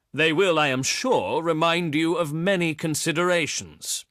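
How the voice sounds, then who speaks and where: Video game envoy of ancient Greece: haughty, theatrical, higher-set bright voice with sweeping intonation, pompous and slyly menacing. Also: male voice, no echo, clean studio sound, full character voice. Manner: pompous, oratorical, deliberate phrasing. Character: haughty ancient Greek diplomat, pious and slyly threatening. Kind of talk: theatrical scripted game dialogue.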